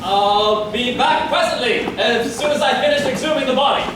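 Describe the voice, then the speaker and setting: A man playing a brisk, courteous police inspector with formal, old-fashioned speech in a staged murder-mystery farce. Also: very high-pitched. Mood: businesslike. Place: theatre staged reading, distant mic. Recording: echoey hall from far off, noisy.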